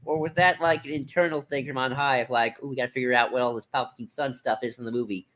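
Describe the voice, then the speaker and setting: A man's voice: mid-range voice, fairly even intonation, fast talker, fairly bright tone. A man co-hosting a podcast, chatting casually in a loose, rambling way as he thinks aloud. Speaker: podcast co-host. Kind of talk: casual chat about books.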